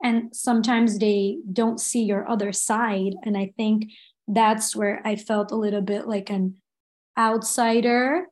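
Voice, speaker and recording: A young woman from Los Angeles, a guest speaking softly and reflectively in a casual interview, with many fillers. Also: mid-range voice, little pitch movement, unhurried. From Los Angeles, United States. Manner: soft-spoken in English.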